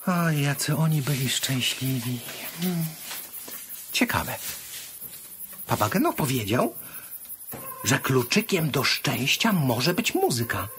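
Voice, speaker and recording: An actor playing a curious, musing hero of a children's musical audio play, thinking aloud with hesitant murmurs. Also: male voice, mid-range voice, sing-song, slow pace, long pauses, a bit bright, slight background noise. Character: curious, musing storyteller hero seeking the key to happiness.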